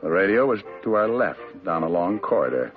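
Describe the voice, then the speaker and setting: A man with a low voice narrating a tough detective story in a wry, hard-boiled way. The old broadcast sounds muffled, with music playing underneath. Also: sing-song, normal speed. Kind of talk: 1950s radio drama narration.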